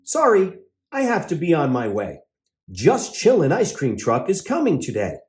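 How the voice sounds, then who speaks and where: A man's voice: fairly high pitch, wildly swooping pitch, normal speed. A man voicing a friendly, kind storybook cat who is eager and apologetic about being in a hurry. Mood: apologetic, rushed. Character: friendly hurried young cartoon cat, cheerful and kind.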